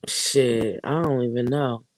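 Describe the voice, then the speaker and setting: A man's voice: medium pitch, fairly even intonation, slow pace, over a noisy crowd. A man chatting casually as co-host, incredulous and profane in his reactions. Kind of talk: casual chat.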